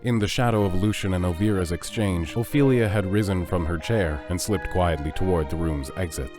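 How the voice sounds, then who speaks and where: A man's voice: low-pitched, a little fast. A man reading scripted narration for an audio drama as its narrator. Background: music.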